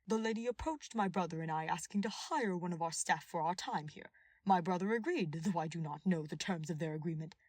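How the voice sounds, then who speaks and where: A woman acts out an older gentleman lord in a fantasy audiobook. He speaks formally and defensively to his liege, with a husky grain and a close, dry sound. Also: on the low side, brisk, no room sound, lightly acted.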